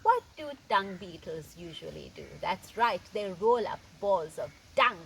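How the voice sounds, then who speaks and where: A woman reading a picture book aloud to children in a teacherly, expressive way, stretching words for emphasis and putting questions to her listeners. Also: mid-range voice, a little slow. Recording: clear background noise.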